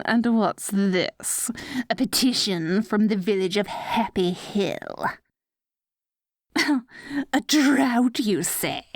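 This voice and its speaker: Woman acting as adorkable evil queen, petulant, gleefully wicked, theatrical gloating, casual asides, slow pace, dry close sound, roleplay audio. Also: medium pitch, dry recording. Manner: dorky, theatrical gloating slipping into casual chatter. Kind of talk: scripted roleplay audio drama.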